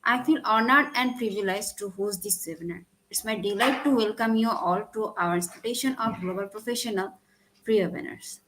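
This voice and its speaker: A woman from Bangladesh hosting an online webinar, reading a formal welcome in a halting way and restarting her lines. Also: medium pitch, fairly bright tone, a little hiss or hum, rough recording. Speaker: webinar host.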